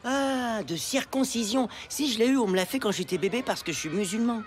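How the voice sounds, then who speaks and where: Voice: mid-range voice, a little fast, noisy background, close to an everyday voice. An actor voicing a sensible, slightly scornful schoolboy classmate in a cartoon, offhand and corrective. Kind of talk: animated cartoon voice acting. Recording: music behind the voice.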